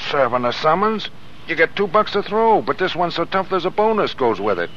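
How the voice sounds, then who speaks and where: On a muffled, noisy old radio broadcast, a frustrated streetwise guy talks in slang. His voice is fairly high for a man and jumps around in pitch with exasperation. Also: sing-song, brisk, fairly bright tone. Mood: exasperated. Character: wisecracking, frustrated street-smart process server. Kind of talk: 1930s radio acting.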